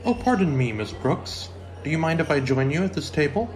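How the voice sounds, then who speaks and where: A man playing a mild, very animated schoolteacher. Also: a little high, a little fast, soft background music. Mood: earnest, mild. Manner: polite, earnest, naive. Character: mild, oblivious male biology teacher. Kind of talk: old-time radio comedy acting.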